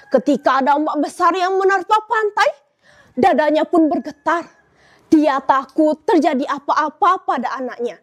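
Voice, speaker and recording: A teenage girl telling a folktale in a school storytelling contest, expressive and dramatic, speaking to judges and audience. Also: high voice. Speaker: student storyteller.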